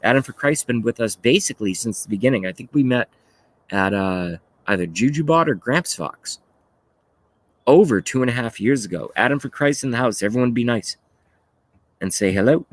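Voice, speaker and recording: A man of thirty-five hosting a livestream, talking casually and haltingly as he rants and reads out chat messages, with some swearing. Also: medium pitch, animated. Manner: rambling, halting, often swearing. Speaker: livestream host. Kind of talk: casual political rant while reading live chat.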